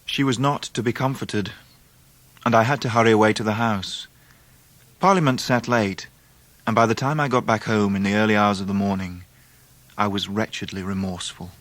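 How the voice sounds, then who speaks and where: An actor plays a Victorian English gentleman narrating a radio drama in the first person. His voice is on the low side and measured. He is rueful and dryly humorous. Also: fairly even intonation, no echo, lightly acted.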